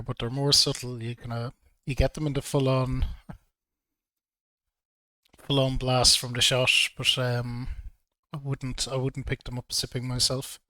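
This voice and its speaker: A man reviewing whiskey in a relaxed, hesitant way, a little throaty from a cold. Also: mid-range voice, no room sound, low-quality recording. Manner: relaxed, hesitant with frequent ums. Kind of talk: casual video review.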